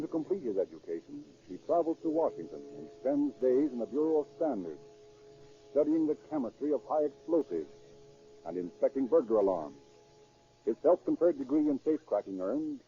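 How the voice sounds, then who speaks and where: A man's voice: mid-range voice, warm tone, lightly acted. A man narrating a scripted 1930s radio crime drama. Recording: muffled vintage 1930s radio recording.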